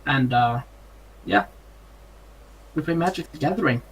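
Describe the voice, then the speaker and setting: A man's voice: medium pitch, a little slow, slightly rough, rough recording. Hesitant Norwegian-accented young man. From Norway. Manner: hesitant, many ums and uhs. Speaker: streamer, interview guest. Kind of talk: casual interview answers.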